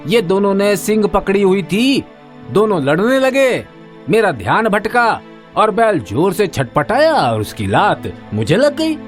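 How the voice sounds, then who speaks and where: Guy doing a village farmer dad, high swoopy voice, slow drawl, stern but kind, cartoon story w music under. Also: very animated. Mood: hurt, aggrieved. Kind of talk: animated moral story acting.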